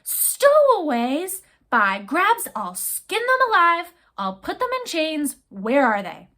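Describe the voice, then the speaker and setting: A woman voicing a gruff, blustering old male ship captain who roars and bellows his lines. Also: high voice, animated, measured pace, pushed and bright, exaggerated voice. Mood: furious. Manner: roaring, bellowing.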